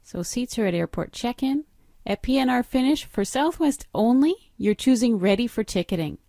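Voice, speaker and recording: A woman's voice: on the high side, expressive, moderate pace, gravelly, mellow, no room sound. Dry, matter-of-fact woman trainer. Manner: step-by-step instructional, matter-of-fact. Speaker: software trainer. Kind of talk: screen-recorded training walkthrough.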